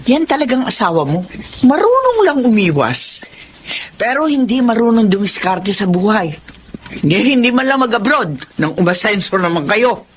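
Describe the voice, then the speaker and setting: A woman's voice: on the high side, very animated, normal speed, slight background noise. A woman playing a disapproving, scolding older mother in a Tagalog radio drama. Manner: scolding, exasperated. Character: nagging, disapproving older mother-in-law, materially minded.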